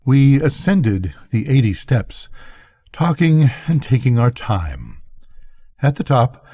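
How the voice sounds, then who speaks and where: A man's voice: medium pitch, very animated, slow pace, warm tone, slightly rough, no echo, studio quality. An older man reading a bedtime story aloud as a storyteller.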